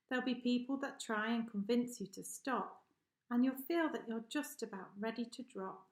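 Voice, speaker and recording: Woman reading as a slow, wise old giraffe. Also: on the high side, reverberant. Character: wise old male giraffe giving encouraging advice.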